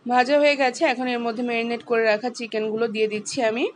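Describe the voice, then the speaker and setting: A woman, calmly walking through a home cooking recipe step by step as the channel's host. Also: on the high side, racing, slight background noise. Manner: calm, instructive, explains each step.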